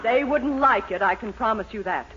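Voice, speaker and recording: An actress playing a proud, imperious, middle-aged American matron with indignant delivery in a 1940s radio sitcom. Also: female voice, a little high, moderate pace, over a noisy crowd, lightly acted. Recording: noisy, dull 1940s radio recording.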